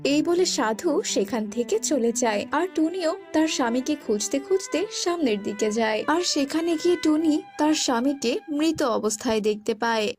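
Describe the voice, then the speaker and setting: A woman's voice: high voice, lively intonation, moderate pace, few pauses, a little mellow, faint music behind. A woman reading scripted narration for a children's cartoon story.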